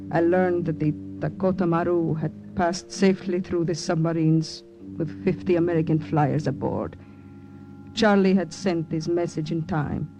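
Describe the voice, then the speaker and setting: A woman's voice: deep voice, poor audio. Devoted woman resistance agent, earnest dramatic phrasing, vintage radio play, musical underscore. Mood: sorrowful. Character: devoted, anxious woman resistance agent narrating her wartime memory. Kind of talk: 1940s-50s radio drama acting.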